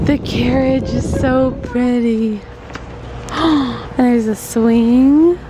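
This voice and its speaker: A woman's voice: high-pitched, drawn-out words, noisy background. A woman in her twenties chatting casually as a travel vlogger into a handheld camera. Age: 20s. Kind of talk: casual vlog chat. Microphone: handheld camera at arm's length. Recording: loud music over handheld outdoor video.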